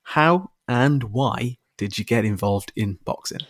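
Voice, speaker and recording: A man's voice: mid-range voice, a little slow, fairly bright tone, no echo, clean studio sound. A man hosting a podcast interview, asking questions and repeating words as he gathers his thoughts. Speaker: podcast host.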